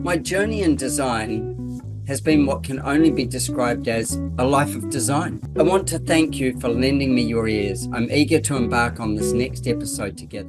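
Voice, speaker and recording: A man hosting an interview podcast, speaking in a conversational, reflective way. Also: medium pitch. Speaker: podcast host.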